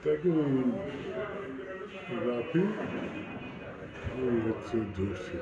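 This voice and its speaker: A man's voice: medium pitch, expressive, drawn-out words, creaky. A man chatting casually about work, as if among colleagues in a shop. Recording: muffled, echoey, loud crowd chatter.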